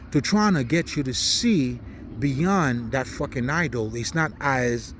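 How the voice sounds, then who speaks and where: A man's voice: mid-range voice, slight background noise. A man from Haiti, not a native English speaker, giving an unscripted, preaching monologue in blunt, profane slang with emphatic repetition. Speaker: spiritual commentator.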